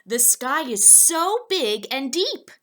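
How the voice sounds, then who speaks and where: A woman voicing a timid, gentle male pig in a children's storybook, speaking in simple, expressive phrases. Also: high-pitched, slow, pitched above the performer's own voice, exaggerated voice. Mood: wistful. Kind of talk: children's storybook read-aloud.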